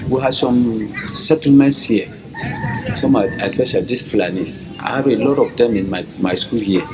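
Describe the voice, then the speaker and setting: A man's voice: on the low side, normal speed, low-quality recording. A man with a Ghanaian accent, a head teacher speaking plainly in a documentary interview. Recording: documentary clip over music.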